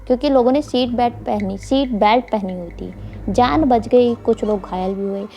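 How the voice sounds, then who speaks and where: A woman's voice: a little high, normal speed, warm tone, highs cut off. A woman narrating a mystery story for an online channel, informally addressing her listeners as host. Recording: faint background noise.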